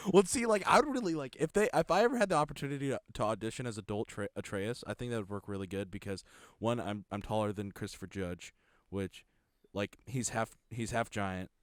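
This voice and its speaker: Podcast co-host, man, casual and rambling, clear voice with a dry, close feel. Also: fairly quick, no room sound. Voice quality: low voice. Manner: rambling, laughs often, many restarts. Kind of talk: casual chat.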